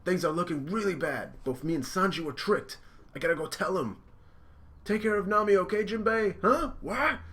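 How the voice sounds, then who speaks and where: Man doing a brash, shouty young pirate captain. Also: moderate pace. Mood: urgent. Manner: loud, shouty, high and strained.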